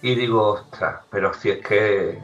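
A man telling an anecdote in an animated way, acting out the lines of dialogue as he goes. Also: on the low side, unhurried, faint music behind. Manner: animated storyteller who acts out dialogue. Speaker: guest. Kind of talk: casual anecdote. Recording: slightly dull lo-fi sound.

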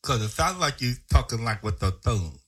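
A man's voice: mid-range voice, sing-song, fairly bright tone. A man co-hosting a casual podcast chat, teasing and joking with his friends. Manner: teasing, drawn-out talk. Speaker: podcast co-host.

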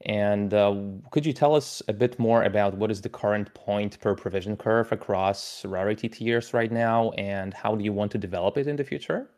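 A man chats on a podcast in English. His voice sits a bit low. He is relaxed and talkative, leaning on "you know" and "like". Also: moderate pace, few pauses. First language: Polish. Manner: chatty, relaxed, filler-heavy. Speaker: podcast co-host. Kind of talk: casual podcast chat.

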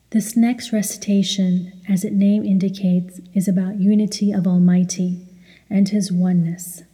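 Very mellow woman guiding meditation, heavy reverb. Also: medium pitch. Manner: measured, soothing, instructional. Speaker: guided meditation leader. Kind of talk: guided meditation narration with recitation. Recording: long hall-like reverb.

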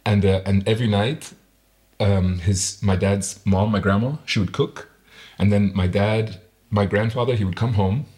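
A man with a low voice holds a casual interview conversation. He talks in a reflective, halting way, often circling back to rephrase what he has started. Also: steady tone, a little mellow. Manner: reflective, halting, self-correcting.

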